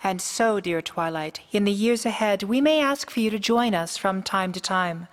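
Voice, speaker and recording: An ancient pony princess, acted by a woman, regal and solemn. She speaks in measured, formal phrases in a middle register, as in a fan-made radio play. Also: medium pitch, close to an everyday voice. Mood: gracious, wise. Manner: formal, measured, grave diction.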